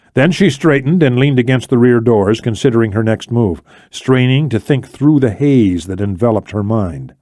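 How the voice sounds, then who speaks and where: A man's voice: medium pitch, dark tone, dry recording, clean studio sound. A man reading scripted thriller narration as an audiobook narrator.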